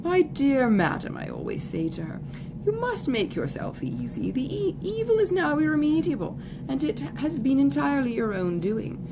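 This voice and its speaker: A woman voicing a conceited, foppish young gentleman in an audiobook, with a pompous, affected, effusive manner. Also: high voice, wildly swooping pitch, moderate pace, voice pushed up, exaggerated voice. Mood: pompous, self-assured. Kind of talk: audiobook character voice. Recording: clearly noisy recording, background ambience.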